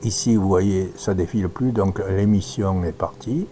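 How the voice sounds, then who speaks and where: A man giving an informal live demonstration as an amateur radio operator, halting and thinking aloud as he works. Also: low-pitched, mellow. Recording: noisy recording with audible hum.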